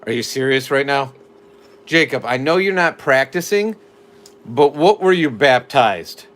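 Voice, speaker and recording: A man's voice: mid-range voice, measured pace. A man co-hosting a live stream, chatting casually, joking and reading the chat, sometimes dropping to a whisper. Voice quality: animated, jokey, drops to a whisper at times. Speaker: livestream co-host. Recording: faint background noise.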